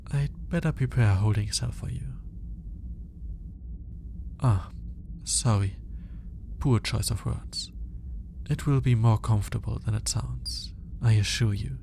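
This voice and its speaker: Man playing a slow, mellow, lonely alien scientist. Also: fairly low pitch, gravelly, a little hiss or hum. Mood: awkward, shyly smitten. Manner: hesitant, halting, musing aloud with sighs. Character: lonely male alien scientist, obsessive, awkward, smitten, lovelorn. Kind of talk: intimate ASMR audio roleplay monologue.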